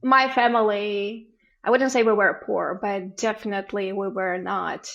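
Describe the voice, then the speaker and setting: A woman's voice: medium pitch, fairly even intonation, a little slow, gravelly, fairly bright tone, lo-fi sound. A woman in her forties with a Ukrainian accent, a podcast guest telling stories in casual chat and acting out family exchanges. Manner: storytelling, acts out family dialogue.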